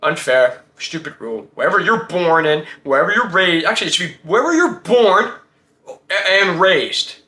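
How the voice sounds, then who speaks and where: A man's voice: sits high, expressive, moderate pace, pushed and bright, echoey room. A man hosting a casual sports talk show, giving blunt, slangy opinions and firing off rhetorical questions.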